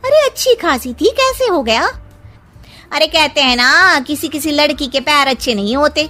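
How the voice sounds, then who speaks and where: A woman voicing a spiteful, superstitious older female relative in a cartoon story, gossiping with drawn-out scorn. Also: high voice, lively intonation, bright, forceful tone, faint music behind, pitched above the performer's own voice. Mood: scandalised gossip.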